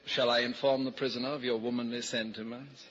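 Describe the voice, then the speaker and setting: An actor playing a jaded, sardonic, hard-drinking lawyer in a theatrical vintage radio drama style. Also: male voice, mid-range voice, little pitch movement, fairly quick, a bit bright, close to an everyday voice. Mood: cold, sardonic. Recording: dull, noisy old-time radio recording.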